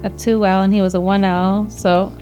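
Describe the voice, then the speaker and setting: A woman in her thirties or early forties from New Jersey, reminiscing conversationally as an interviewee, in a halting way with small restarts. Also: mid-range voice, little pitch movement, warm tone, faint music behind, rough recording. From grew up in New Jersey. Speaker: law school alumna, interviewee.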